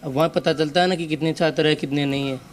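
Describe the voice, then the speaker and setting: A man's voice: a little high, fairly even intonation, fast talker, slight background noise. A young man preparing for the army, speaking plainly and a bit hesitantly. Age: young adult. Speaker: army aspirant.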